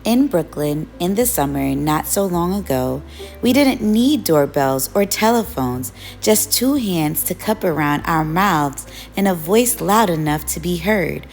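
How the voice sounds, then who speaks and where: A woman reading a picture book aloud as a storyteller, with expressive, measured narration. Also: on the low side, creaky, soft background music. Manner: measured storytelling, acts out children's quoted calls.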